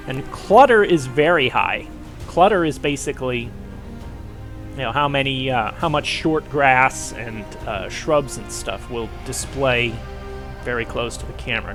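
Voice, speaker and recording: A man with a stuffy, cold-blocked voice, giving a rambling, casual commentary over gameplay as host, with many pauses and fillers. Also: mid-range voice, a bit bright. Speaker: gaming channel host.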